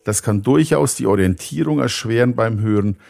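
A man's voice: medium pitch, normal speed, gravelly, a little mellow. A man reading scripted narration as a podcast presenter, in the style of a music review.

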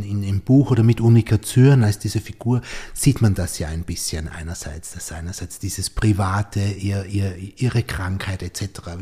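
A man's voice: fairly low pitch, mellow, breathy edge. A man hosting a podcast interview, thinking aloud with fillers and tag questions. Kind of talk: conversational interview.

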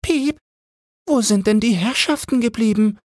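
A man's voice: extremely high pitch, unhurried, a little mellow, dry recording, clean studio sound, voice pushed up. A man voicing a cheeky, mocking little sparrow in a fairy-tale audiobook, with pert, gossipy chatter.

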